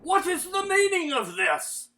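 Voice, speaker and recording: A man's voice: very high-pitched, big pitch swings, measured pace, sharp tone, heavily acted. An actor playing an outraged, blustering old fairytale king in an audio drama.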